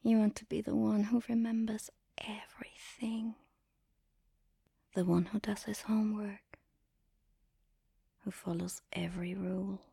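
A woman speaking in an intimate, commanding and teasing erotic ASMR style, in a breathy whisper close to the mic. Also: mid-range voice, flat delivery, measured pace, pauses often, mellow, no echo. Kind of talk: erotic ASMR, guided audio roleplay.

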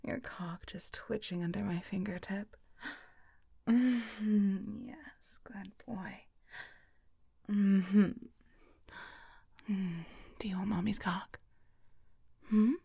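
A woman performing intimate adult audio roleplay in a breathy, whispery voice, with a teasing, dominant manner. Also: mid-range voice, fairly even intonation, warm tone, no room sound, studio quality. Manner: slow, teasing, dominant murmuring.

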